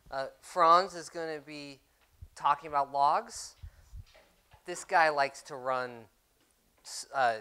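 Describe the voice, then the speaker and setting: A man introducing a conference speaker in a relaxed, joking way in a conference room. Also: mid-range voice, clear, resonant tone. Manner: jokey, relaxed. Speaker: session host introducing speaker. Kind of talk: casual introduction.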